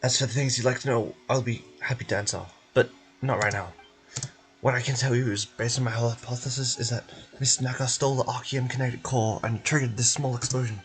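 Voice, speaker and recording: A man's voice: mid-range voice, fairly even intonation, close to an everyday voice. Man playing a formal, evasive institute official. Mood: formal, guarded. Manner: measured, polite, officious. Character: formal, evasive male research institute official in fantasy game.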